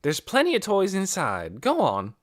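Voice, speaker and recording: A man voicing a bossy, teasing older boy in an audiobook, calling out loudly and commandingly. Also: sits high, huge pitch swings, normal speed, pitched above the performer's own voice. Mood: bossy, annoyed. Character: bossy, teasing big boy ordering younger kids around. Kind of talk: acted audiobook character.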